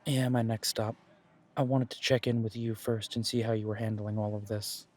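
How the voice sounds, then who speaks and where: A man playing a protective, dutiful werewolf pack leader in an audio drama, speaking in an earnest, measured way with dry humour. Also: lowish voice, flat delivery, a little mellow, natural voice. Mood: steady, serious. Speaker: voice actor in audio roleplay. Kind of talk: scripted audio roleplay drama.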